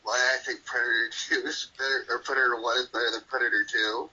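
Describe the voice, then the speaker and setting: Surprise phone-in guest, man, hesitant and stumbling, tense bright voice, lo-fi call sound. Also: medium pitch, flat delivery, fast, slightly rough. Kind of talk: casual chat.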